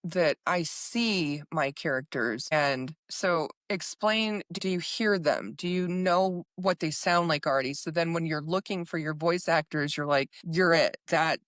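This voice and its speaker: Lower-pitched woman hosting, dry close sound. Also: measured pace, hardly any pauses, fairly bright tone, no room sound. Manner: agreeable, affirming, conversational. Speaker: podcast host. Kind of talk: casual interview chat.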